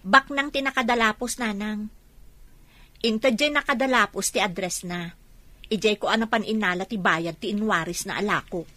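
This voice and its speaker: A woman's voice: mid-range voice, normal speed, sharp tone, no room sound, natural voice. A woman with a Filipino accent playing a proud, earnest young vendor who stands firm, in a radio drama. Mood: aggrieved. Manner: earnest, firm when wronged. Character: proud young woman vendor, poor, dutiful but defiant.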